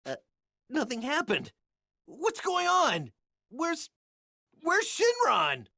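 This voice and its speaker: A woman voicing a small, plucky, anxious young male martial-arts fighter with a raspy voice in an anime game. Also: high-pitched, wildly swooping pitch, slow, bright, forceful tone, dry recording, clean studio sound, full character voice. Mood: confused, alarmed. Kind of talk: anime game dub acting.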